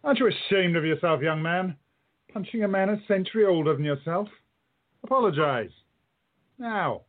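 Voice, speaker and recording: An actor playing a commanding, wry older time traveler with an authoritative, scolding way in a radio play. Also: male voice, sits high, lively intonation, normal speed, narrow, tinny audio. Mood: stern.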